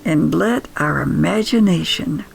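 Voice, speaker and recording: Older female storyteller, heavy vocal fry, slow pace, nostalgic, reflective, scripted podcast narration. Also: low-pitched, rough recording. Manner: reflective, unhurried.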